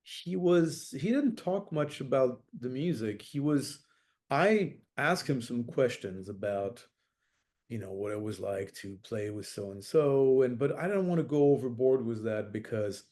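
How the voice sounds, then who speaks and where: A man's voice: medium pitch, a little slow, a little mellow. A middle-aged man from France, a jazz saxophonist as interview guest, talking thoughtfully with many fillers and restarts. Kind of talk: reflective interview talk.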